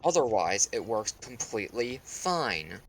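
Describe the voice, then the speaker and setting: A man with a lowish voice, complaining dryly and deadpan as he plays a game. A faint hiss of noise sits under his voice. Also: unhurried, rough recording. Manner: dry, grumbling, deadpan complaints. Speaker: streamer co-host. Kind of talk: casual gaming chat.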